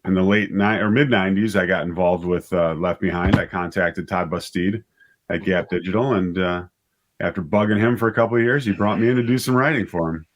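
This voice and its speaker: Low-voiced middle-aged man, rambling enthusiast. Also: brisk, highs cut off. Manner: rambling, enthusiastic, repeats words as he thinks aloud. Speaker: interview guest, audio drama writer and director. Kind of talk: casual interview conversation.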